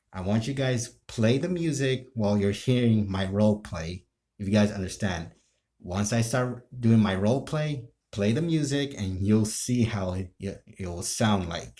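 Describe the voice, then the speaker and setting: A man chatting casually to his viewers as a video creator, rambling and circling back over halting explanations. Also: on the low side, expressive, dark tone. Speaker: video creator and amateur role-player.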